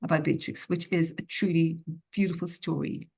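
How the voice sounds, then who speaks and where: Woman host w a really low voice, eager but halting, video call chat. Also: steady tone, creaky, dark tone, breathy edge. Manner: enthusiastic, hesitant, lots of uh. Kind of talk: interview hosting.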